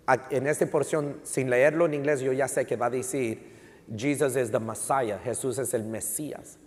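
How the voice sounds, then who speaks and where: A man preaching as a pastor in an emphatic, teaching way, repeating key phrases and switching between Spanish and English. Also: mid-range voice. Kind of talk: sermon with rhetorical questions to the congregation. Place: large indoor church hall. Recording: reverberant hall sound.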